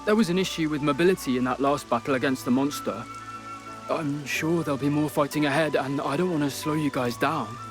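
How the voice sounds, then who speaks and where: A man's voice: a little high, brisk, over music, lightly acted. An actor playing a shy, polite young male tinkerer in a video game cutscene, hesitant and apologetic in his speech. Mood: earnest. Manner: hesitant, apologetic, soft-spoken.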